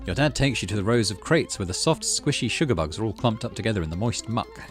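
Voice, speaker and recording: A middle-aged man doing an informal let's play, reading game text aloud and thinking out loud as he plays. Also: fairly low pitch, sing-song, quick pace, faint music behind. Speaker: gaming channel host.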